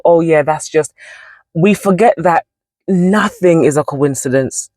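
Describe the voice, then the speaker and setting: A woman's voice: fairly low pitch, mellow, dry recording. A woman in her forties hosting a casual devotional talk, rambling and correcting herself as she goes. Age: 40s. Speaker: Bible study channel host.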